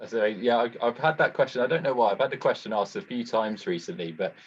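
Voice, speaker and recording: A man interviewing in a casual, halting, conversational way. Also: mid-range voice, husky. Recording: slightly muffled, dull sound.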